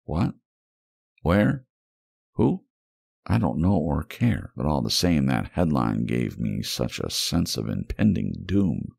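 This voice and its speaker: A man with an extremely low, gravelly voice narrates noir fiction for an ASMR audiobook. His delivery is brooding and wry, with lively swings in intonation. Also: takes it slowly, dry recording, studio quality.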